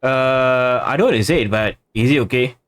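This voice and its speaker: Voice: medium pitch, moderate pace. A streamer giving casual playthrough commentary in short, murmured reactions and echoes of game dialogue.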